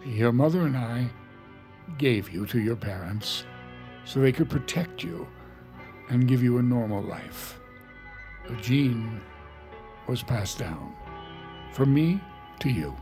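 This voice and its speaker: A grave, protective father and doctor, very slow and mellow. He is calm and deliberate in a naturalistic audio drama, over faint music. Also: mid-range voice. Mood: grave, gentle. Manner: measured, gentle, deliberate. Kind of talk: naturalistic audio fiction acting.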